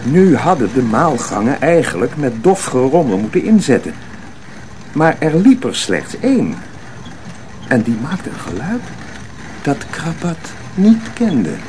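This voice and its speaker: Male narrator for a suspenseful audio drama, animated intonation, mid-range voice, some ambient noise behind. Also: medium pitch, a little slow. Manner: expressive, suspenseful. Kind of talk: scripted dramatic storytelling for radio drama.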